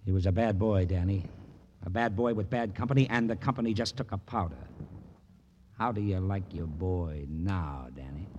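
An actor playing a slick, cynical double-crosser in an old radio crime drama, with a smooth, sardonic manner. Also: male voice, low voice, normal speed, lo-fi sound, lightly acted. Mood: grim, taunting. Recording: noisy old radio broadcast.

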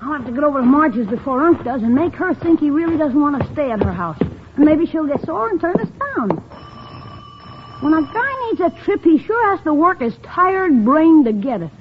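A woman's voice: high voice, very animated, normal speed, background noise. A woman playing a cheeky, scheming young schoolboy in a 1950s radio comedy, pleading and slangy. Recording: muffled old radio recording.

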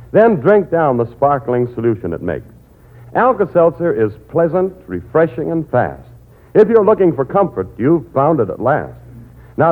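An American man as a 1940s radio announcer, reading rhymed advertising verse in a sing-song way. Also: mid-range voice. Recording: tinny old radio broadcast.

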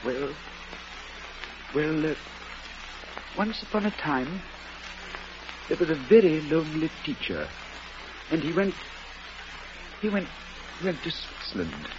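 A man's fairly high, widely swinging voice a devoted, gentle schoolmaster in a vintage radio play. He is earnest, formal and halting, full of feeling. The old recording is dull and noisy. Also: big pitch swings. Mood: holding back grief. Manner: earnest, halting, emotional delivery. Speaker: actor. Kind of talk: old-time radio drama acting.

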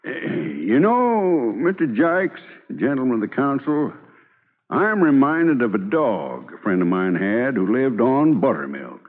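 An actor playing a kindly, humorous, persuasive frontier lawyer in an American radio drama, with a homespun storyteller's American manner of speech. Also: male voice, mid-range voice, very animated, a little slow. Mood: genial, persuasive. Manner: homespun, drawling storyteller with folksy sayings. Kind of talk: old-time American radio drama acting. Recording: muffled old radio recording, long hall reverb.

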